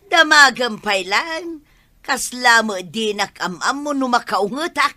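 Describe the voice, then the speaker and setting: Woman playing bright-voiced feisty Ilocano village wife. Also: fairly high pitch, expressive, slow, no room sound, heavily acted. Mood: scolding. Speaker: actor in a comedy drama. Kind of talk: Ilocano comedy drama acting. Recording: outdoor noise with roosters and hens.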